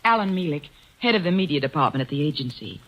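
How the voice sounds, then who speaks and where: An actress playing an anxious secretary under suspicion in an old radio drama, speaking in halting, breathless bursts. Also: female voice, low-pitched, expressive, muffled recording. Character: anxious female secretary, worried suspect. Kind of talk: 1940s radio acting.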